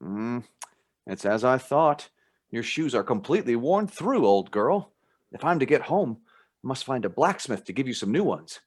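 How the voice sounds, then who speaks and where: A man's voice: medium pitch, sing-song, moderate pace. An actor playing a curious, polite, slightly flustered young man in a radio play. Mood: curious, musing.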